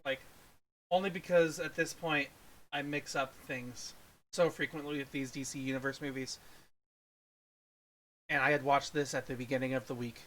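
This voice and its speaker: A man's voice: mid-range voice, steady tone, normal speed, long pauses, fairly bright tone, rough recording. A man co-hosting a casual film review, talking in a rambling way that often trails off mid-thought. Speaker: podcast co-host reviewer.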